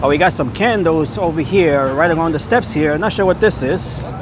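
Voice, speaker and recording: A man's voice: a little high, moderate pace. A man hosting a casual walking livestream outdoors on city streets at night, easygoing and chatty. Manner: easygoing, chatty, repeats phrases. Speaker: livestreaming vlogger host. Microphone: handheld phone. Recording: phone mic with loud street noise. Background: street and traffic noise.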